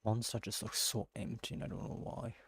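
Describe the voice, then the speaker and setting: A man giving casual, off-the-cuff commentary as he plays a video game, with a husky, gravelly voice. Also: on the low side, flat delivery, fairly quick, fairly bright tone. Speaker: gaming streamer. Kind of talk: casual play-along commentary.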